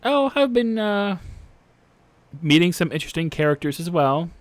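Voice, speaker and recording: A man's voice: high voice, very animated, moderate pace, dry recording, pitched above the performer's own voice. A man voicing a friendly, chatty female elf barkeep, talking with many fillers. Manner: hesitant, lots of fillers.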